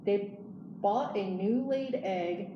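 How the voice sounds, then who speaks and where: A woman's voice: mid-range voice, slow, dull audio. A woman reading a children's storybook aloud as a librarian storyteller. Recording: echoey room, clear background noise.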